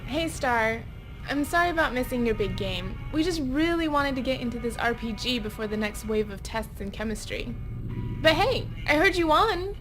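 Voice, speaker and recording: A woman's voice: high voice, expressive, moderate pace, over music. A woman voicing a friendly, eager young student in a video game. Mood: cheerful, apologetic. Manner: friendly, congratulatory.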